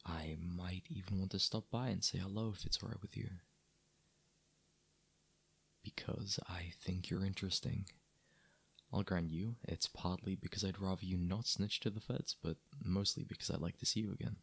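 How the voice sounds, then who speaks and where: A man playing a wry, gentlemanly mafia boss in an intimate ASMR audio roleplay, with a husky, gravelly low voice and a dry, sardonic, conversational manner. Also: fairly even intonation, a little fast, full character voice. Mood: apologetic, soothing. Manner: dry, conversational, sardonic, addressing listener as doll.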